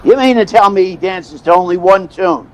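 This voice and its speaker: Theatrical agent for radio drama, male actor, highish pitch, sentimental and wheedling, some background noise. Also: moderate pace, a little mellow, natural voice. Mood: amused, amazed. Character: sentimental, fast-talking male theatrical agent telling his story. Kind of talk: old-time radio drama, narration and dialogue.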